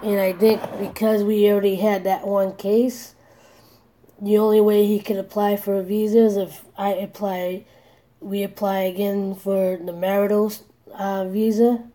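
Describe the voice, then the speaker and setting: A woman's voice: medium pitch, flat delivery, a little slow, long pauses, mellow. A woman in her forties talking candidly in a personal vlog, earnest and worried, hesitating often with restarts and fillers. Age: 40s. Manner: hesitant, many restarts and "you know". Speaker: vlogger.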